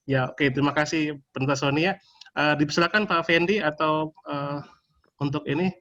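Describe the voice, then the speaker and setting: A man's voice: fairly high pitch, steady tone, normal speed, sharp tone. A man from Indonesia moderating a webinar in a casual way over a video call. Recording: dull, lo-fi online call audio.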